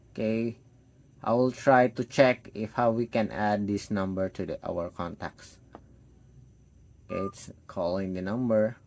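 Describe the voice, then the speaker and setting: Slow-paced man demonstrating a gadget. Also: fairly low pitch, creaky, darkish tone. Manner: step-by-step, thinking aloud while testing. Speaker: tech product reviewer. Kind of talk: hands-on product demo narration, unscripted.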